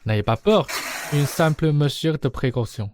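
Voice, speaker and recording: A young woman playing a gruff but soft-hearted male thief, condescending and easily flustered, in a comic radio play. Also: low voice, lively intonation, unhurried, very noisy. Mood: cold, menacing. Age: young adult. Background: music.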